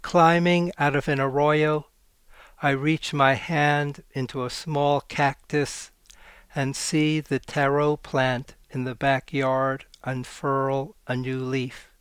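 A man, a poet, reading his poems aloud in a slow, measured way and talking about his work. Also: medium pitch, little pitch movement, a bit bright, clear, resonant tone, dry recording. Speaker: poet guest. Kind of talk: poetry reading.

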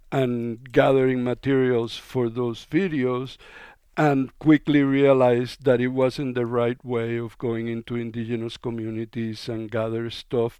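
Radio guest, man from Cuba, smooth and resonant, relaxed talk, dry close mic. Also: medium pitch, dry recording. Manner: relaxed, conversational, with fillers. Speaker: documentary filmmaker guest. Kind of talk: radio interview.

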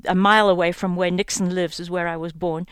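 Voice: medium pitch, little pitch movement, a little fast, a bit bright, clear, resonant tone, dry recording, clean studio sound. An interview guest from Kenya who founded a conservation organization, explaining at length in an articulate, flowing way.

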